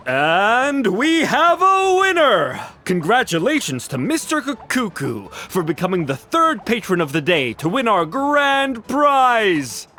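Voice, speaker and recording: An actor playing a showy, cheerful prize announcer in a video game, theatrical and formal. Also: male voice, high voice, huge pitch swings, takes it slowly, pushed and bright, full character voice. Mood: celebratory.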